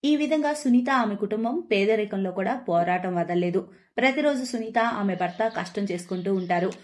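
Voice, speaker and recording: A woman's voice: mid-range voice, moderate pace, darkish tone. A woman narrating a scripted moral story.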